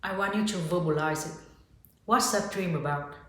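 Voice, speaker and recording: A woman with a Vietnamese accent, a yoga teacher hosting her channel, calmly guiding the listener through reflective questions. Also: on the low side, moderate pace. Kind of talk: reflective self-help talk to camera. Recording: very echoey room.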